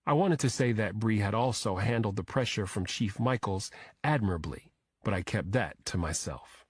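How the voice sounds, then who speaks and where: A man's voice: lowish voice, normal speed, slightly rough, no echo, studio quality. A man reading a thriller audiobook as a scripted first-person narration.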